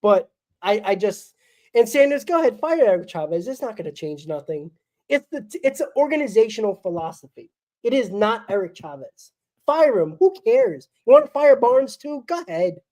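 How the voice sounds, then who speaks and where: A woman's voice: fairly high pitch, sing-song, normal speed, dark tone. Sick, crackling-voiced woman ranting blunt. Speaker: postgame show host. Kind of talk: solo fan postgame rant.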